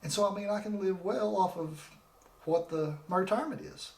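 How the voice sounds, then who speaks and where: Man in his forties, casual solo podcast, rambling, slightly high voice, roomy echo. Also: normal speed, vocal fry. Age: 40s. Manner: rambling and conversational, with frequent restarts. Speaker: podcast host. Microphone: desk microphone with laptop.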